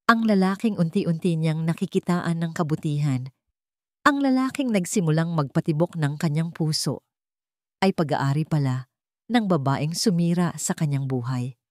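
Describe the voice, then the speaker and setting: A woman narrator reading a scripted romance drama in a measured, dramatic storytelling manner. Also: sits low, a little mellow, dry recording, studio quality.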